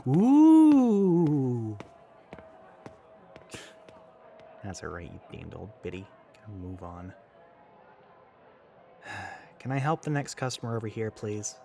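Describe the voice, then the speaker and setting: Man playing animated, sardonic gender-ambiguous retail coworker. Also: mid-range voice, slow, gravelly, background noise, full character voice. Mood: exasperated. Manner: rambling, sarcastic, flippant, with sighs and chuckles. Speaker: voice actor in audio roleplay. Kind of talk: casual roleplay monologue addressed to the listener.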